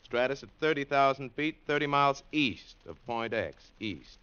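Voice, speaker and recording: An actor playing an easygoing, dutiful military weather briefer in an old radio drama, reporting item by item. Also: male voice, mid-range voice, expressive, normal speed, a bit bright, smooth, natural voice. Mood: matter-of-fact. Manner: orderly, itemised reporting. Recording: muffled vintage radio recording.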